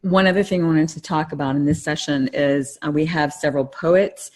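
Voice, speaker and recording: A woman's voice: low-pitched, brisk, a little mellow. A middle-aged woman co-hosting a podcast, giving casual conversational advice in a reflective, explanatory way. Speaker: podcast co-host.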